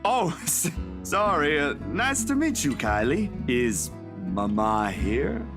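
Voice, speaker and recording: Woman putting on a low-voiced, awkward young man. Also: big pitch swings, takes it slowly, music in the background, exaggerated voice. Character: awkward, kindly young man, a troubled photographer. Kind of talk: reading visual novel dialogue aloud.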